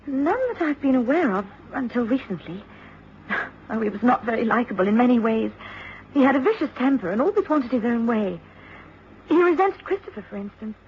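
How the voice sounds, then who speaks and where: An actress playing a well-spoken, composed young English daughter in mourning, in a radio drama. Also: female voice, on the high side, lightly acted. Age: young adult. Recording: dull, faintly noisy old radio recording.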